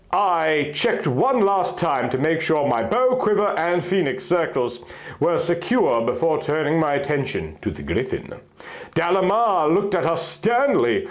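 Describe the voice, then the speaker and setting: A man narrating a piece of fan fiction in a theatrical, exaggerated way. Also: a little high. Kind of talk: dramatic reading of fan fiction.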